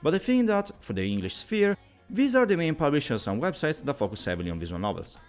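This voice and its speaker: A man's voice: a little high, very animated, quick pace, soft background music. A man giving a scripted, lecture-style explainer as a video presenter, with wry, teacherly asides.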